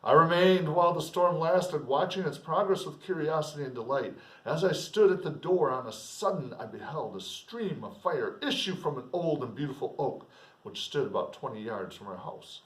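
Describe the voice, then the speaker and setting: Echoey, distant-sounding man reading literature aloud. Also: on the high side. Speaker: teacher reading aloud with commentary. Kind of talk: literary reading with informal teaching asides. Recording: roomy echo, distant microphone.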